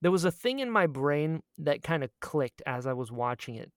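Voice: medium pitch, very animated, normal speed, dry recording, clean studio sound. A person chatting casually as a podcast co-host, telling stories with a fan's gushing enthusiasm and repeating phrases for emphasis. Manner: acts out quoted exchanges in storytelling.

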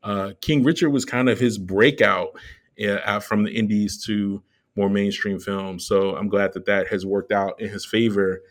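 Lower-voiced man, thinking aloud. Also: fairly even intonation, moderate pace. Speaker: podcast co-host. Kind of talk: casual film commentary.

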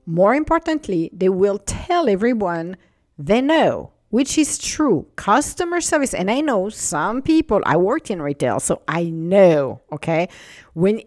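A woman with a non-native English accent hosting a casual solo podcast, animated and laughing often, speeding up when excited. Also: mid-range voice, unhurried, no room sound, clean studio sound. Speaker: podcast host.

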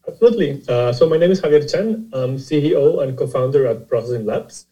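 Costa Rican male guest, very dark mellow voice, halting and repetitive explainer. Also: medium pitch, lively intonation, poor audio. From Costa Rica. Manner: halting, repeats words while explaining. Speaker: guest, startup CEO. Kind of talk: conversational interview.

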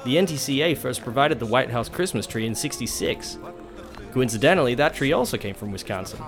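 A man's voice: medium pitch, expressive, brisk, music in the background, lightly acted. A man playing a supportive but wounded middle-aged husband and father in an audio drama. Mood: chatty.

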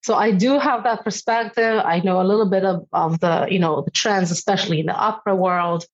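A woman from Israel, a singing teacher talking frankly and thoughtfully, her voice slightly hoarse. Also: medium pitch, steady tone, brisk, lo-fi sound. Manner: thoughtful, frank, laughs often. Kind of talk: casual interview chat.

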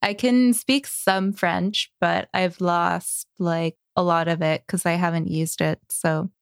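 A woman's voice: fairly low pitch, fairly even intonation, slow, creaky, clear, resonant tone, no echo, studio quality. A woman from Canada hosting a casual chat, talkative, laughing often and agreeing a lot. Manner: chatty, laughs often, many "right"s. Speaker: podcast host.

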